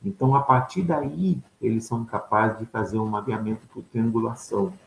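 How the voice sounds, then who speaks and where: A man's voice: fairly low pitch, normal speed, vocal fry. A man giving an explanatory lecture, asking questions aloud and answering them himself, often checking in with his listeners. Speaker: ufology lecturer. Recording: echoey room with faint background noise.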